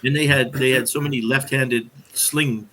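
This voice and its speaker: A man with a medium-pitched, bright and forceful voice explains scripture in a casual podcast chat. He speaks haltingly, often doubling back on his phrases as he goes. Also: fairly even intonation, slight background noise, low-quality recording.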